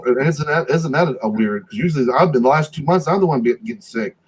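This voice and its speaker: A man's voice: mid-range voice, animated, fast talker, husky. Laid-back older man, swearing casually. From United States. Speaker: podcast host. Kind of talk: casual chat over video call.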